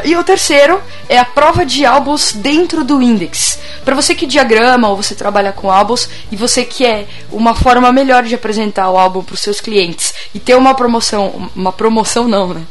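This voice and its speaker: A woman co-hosting a casual talk show, hoarse this week, chatting loosely and laughing often. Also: a little high. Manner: laughs often, relaxed banter. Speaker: podcast co-host. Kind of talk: casual chat and sponsor read.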